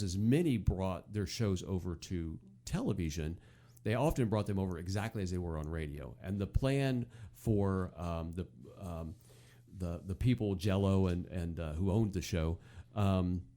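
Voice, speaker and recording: Low, mellow American man hosting casually. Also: normal speed. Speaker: podcast host. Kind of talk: casual chat about old-time radio.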